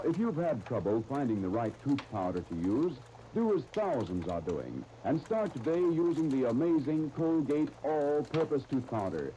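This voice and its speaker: A man's voice: mid-range voice, mellow. An American man announcing in a scripted 1930s radio style, as for a serial and its sponsor. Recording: noisy 1930s radio recording.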